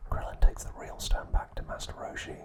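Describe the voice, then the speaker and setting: A man narrating trivia as an ASMR host, telling it softly and without hurry. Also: brisk.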